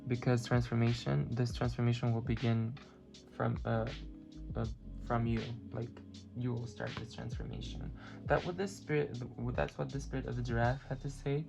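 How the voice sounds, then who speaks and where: Non-native English card reader with a gravelly voice and a halting delivery full of fillers, over clear background music. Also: medium pitch, flat delivery. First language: not English. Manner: halting, many fillers, searching for words. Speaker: tarot and oracle card reader. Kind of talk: pick-a-card spiritual reading.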